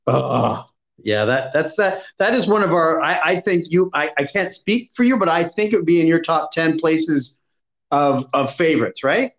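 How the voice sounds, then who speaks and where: High-voiced man, halting and easygoing. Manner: halting, many restarts, laughs easily. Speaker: podcast co-host. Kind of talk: casual chat.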